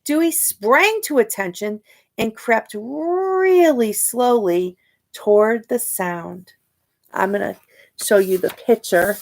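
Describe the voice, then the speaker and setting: A woman reads a children's picture book at a very slow pace. Her voice sits fairly high, and she is expressive, often pausing to question or show things to young listeners like a storytime teacher.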